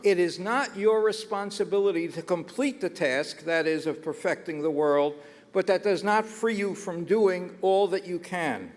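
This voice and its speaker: A rabbi gives a solemn, deliberate speech with the cadence of a sermon. His voice is high for a man, and long reverb from a large hall trails each phrase.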